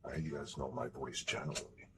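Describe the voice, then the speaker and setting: A young baritone man streaming live, talking casually and bluntly with a strained, sore voice after throat surgery, sometimes slipping into a whisper. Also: fairly even intonation, brisk, noisy background. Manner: blunt and profane, drops to a whisper at times.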